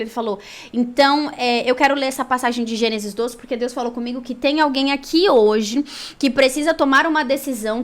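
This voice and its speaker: Woman, Brazilian accent, higher-pitched voice, conversational faith storytelling, animated and colloquial delivery. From São Paulo, Brazil. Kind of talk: personal storytelling with Bible reading.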